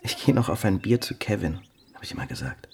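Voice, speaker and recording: An actor playing an evasive, anguished young footballer and father in a TV crime drama, speaking haltingly. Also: sits low, big pitch swings, a little mellow. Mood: sorrowful, hushed. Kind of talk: naturalistic German TV drama dialogue.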